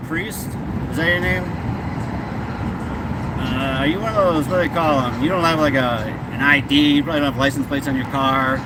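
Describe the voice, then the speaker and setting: A man's voice: a little high, steady tone, normal speed. A man doing a casual, joking livestream chat in a laid-back way from inside a moving car. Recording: loud vehicle and road noise. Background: car noise, radio playing.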